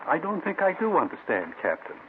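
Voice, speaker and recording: A man's voice: fairly high pitch, huge pitch swings, fairly quick. An actor playing a middle-aged con man in a 1950s radio drama, falsely courteous, genteel and ingratiating. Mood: indignant, mock-innocent. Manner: genteel, ingratiating, mock-innocent. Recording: tinny old radio recording, faint noise.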